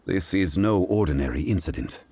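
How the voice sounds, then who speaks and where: A man voicing a calm, grave, learned gentleman in a video game, formal and measured in manner. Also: low voice, slight background noise.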